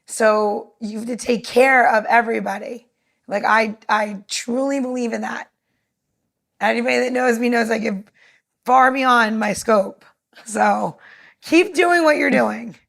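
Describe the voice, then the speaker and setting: A woman's voice: a little high, unhurried, vocal fry, a bit bright. A woman co-hosting a podcast in casual conversation, talking in an earnest, rambling way. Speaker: podcast co-host.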